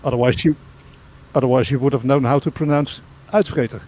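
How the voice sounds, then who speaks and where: A man's voice: mid-range voice, steady tone, fairly quick, darkish tone, a little hiss or hum, low-quality recording. A Dutch man from Friesland with a mid-range voice chats casually between flights. He keeps his comments short and dry. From Friesland, Netherlands. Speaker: fellow virtual pilot. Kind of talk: casual chat over radio.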